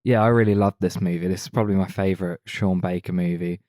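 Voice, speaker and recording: A man's voice: sits low, fairly even intonation, fast, darkish tone, no echo, clean studio sound. A man co-hosting a film podcast, talking casually about movies.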